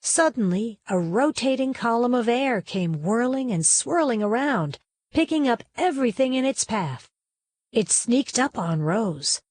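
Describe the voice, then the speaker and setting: A woman narrates a storybook with expressive, folksy delivery. Her voice sits a little high, and the sound is fairly dry and close. She leans on key words for dramatic emphasis. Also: a little slow, no echo. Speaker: read-aloud narrator.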